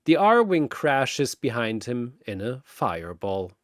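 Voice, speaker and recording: Slow, animated man narrating dramatically. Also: mid-range voice, dry recording, studio quality. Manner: theatrical, short punchy phrases. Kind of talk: dramatic scripted battle narration.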